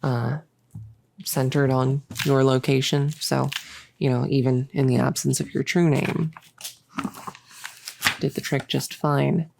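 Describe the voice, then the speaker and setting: A woman's voice: rumbling low, level tone, slow pace, vocal fry, long pauses, background noise, exaggerated voice. A young woman playing a bossy, giddy, possessive cultist in an intimate roleplay audio, rambling in a casual, smug, playful way. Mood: rambling, smug explaining. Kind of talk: intimate roleplay audio, improvised-sounding monologue.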